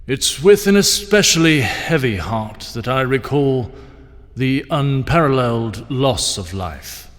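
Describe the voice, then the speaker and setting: A man's voice: mid-range voice, lively intonation, takes it slowly. An actor playing a grand middle-aged king who gives a ceremonious speech to a banquet hall. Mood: solemn. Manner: ceremonious, rousing oratory. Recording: long hall reverb with crowd murmur.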